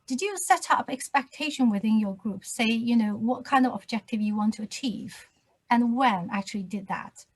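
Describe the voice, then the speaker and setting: A woman finance lecturer hosting an interview, speaking in a slow, measured and formal way over a video call. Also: a little high, low-quality recording. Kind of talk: scripted introduction and interview questions.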